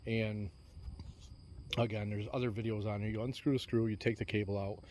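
Man, low-pitched, rambling DIY vlogger, outdoor phone recording with faint ambient noise. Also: little pitch movement, brisk, darkish tone. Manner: rambling, many fillers and restarts. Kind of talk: casual how-to walkthrough. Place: outdoors on a lawn beside a truck.

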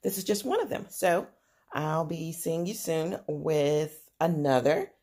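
Woman, home decor vlogger; low, soft-spoken, unhurried, filmed on a phone at her table. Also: breathy edge. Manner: soft-spoken, unhurried, frequent ums and uhs. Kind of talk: casual show-and-tell narration. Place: indoors at a dining table.

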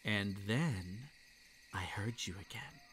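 A dark kitsune monster boy, acted by a man, tells his story slowly in an ASMR roleplay. He is obsessive and gloating, with intimate, hushed menace, over faint night insects. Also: lowish voice, a little hiss or hum, voice pushed down. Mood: hushed storytelling. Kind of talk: roleplay ASMR dramatic monologue. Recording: night insect ambience behind the voice. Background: crickets and night insects.

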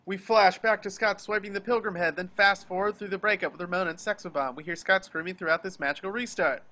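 A man's voice: sits high, fast, sharp tone. A man reading scripted action descriptions as narrator of a table read over a video call.

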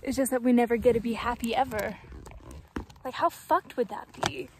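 A woman's voice: fairly high pitch, fairly even intonation, normal speed, a little hiss or hum. A twenty-year-old woman vlogging outdoors on a phone, rambling and self-mocking as she muses aloud, swearing often. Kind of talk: casual reflective vlog talk. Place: outdoors in a dry mountain meadow. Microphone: phone held at arm's length, later propped on the ground.

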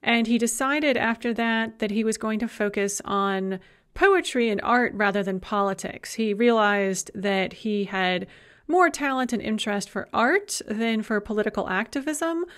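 Woman lecturer with a slightly high voice. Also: normal speed, fairly bright tone. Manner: conversational, frequent "uh" fillers. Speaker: university lecturer. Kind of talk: recorded slide lecture.

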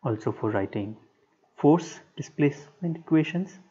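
A man giving a structural engineering lecture over a whiteboard screen recording, speaking in short, halting, measured phrases. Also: on the high side, animated, dark tone, husky. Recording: dull lo-fi sound.

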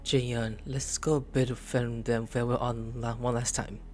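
A young man streaming a video game, reacting excitably, swearing and shouting at enemies. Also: mid-range voice, unhurried, soft background music. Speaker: gaming streamer. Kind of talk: casual gameplay commentary.

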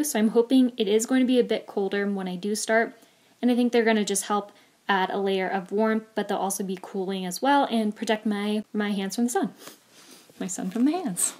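A young woman in her twenties talks in a relaxed, chatty way, walking through her outdoor clothing piece by piece. Her voice sits at an ordinary middle pitch for a woman. The tone stays casual and upbeat throughout. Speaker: vlogger. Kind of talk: voice-over gear walkthrough.

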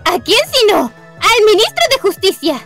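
Woman voicing very high-pitched, spirited young female detective. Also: sing-song, normal speed, a bit bright, faint music behind, exaggerated voice. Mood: determined, urgent. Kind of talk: live fan dubbing of a visual novel game.

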